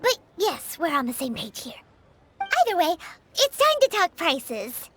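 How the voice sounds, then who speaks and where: A woman's voice: extremely high pitch, huge pitch swings, measured pace, noisy background, exaggerated voice. A woman voicing a tiny, chirpy, bossy fairy companion in a video game, childlike and cheeky. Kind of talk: video game character acting.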